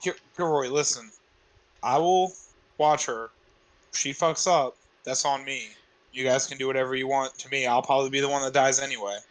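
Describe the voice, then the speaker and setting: A man's voice: mid-range voice, steady tone, moderate pace, lightly acted, poor audio. Man playing an earnest, insistent teenage boy. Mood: resolute.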